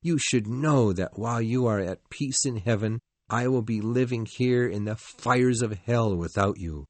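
A man voicing an anguished, defiant male lover with intense, drawn-out phrasing in an audiobook. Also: mid-range voice, darkish tone, no room sound, lightly acted. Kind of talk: dramatized audiobook reading.

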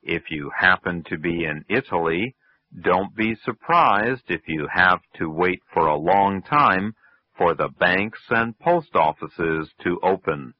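A man presenting a scripted English lesson for learners, reading slowly and clearly enunciating each phrase. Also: deep voice, expressive, dry recording. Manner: slow, careful, clearly enunciated. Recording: dull lo-fi radio broadcast.

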